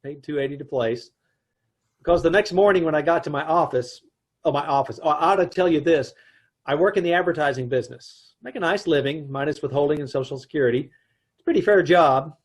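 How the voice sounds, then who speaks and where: A man playing a wisecracking advertising man who also narrates, in an old-time radio comedy, deadpan and dry, over a video call. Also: fairly high pitch, brisk, natural voice.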